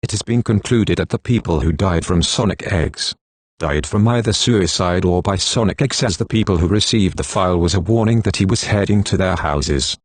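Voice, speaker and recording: Emergency alert announcer, male text-to-speech, low pitch, formal scripted read, very dry sound. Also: moderate pace, no room sound. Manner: formal, synthetic delivery.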